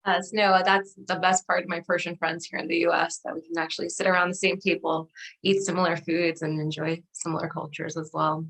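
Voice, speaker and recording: Low-pitched woman, native Dari speaker. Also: flat delivery, brisk, vocal fry, fairly bright tone, poor audio. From Afghanistan and Pakistan. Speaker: interview guest, doctor. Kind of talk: personal storytelling in an interview.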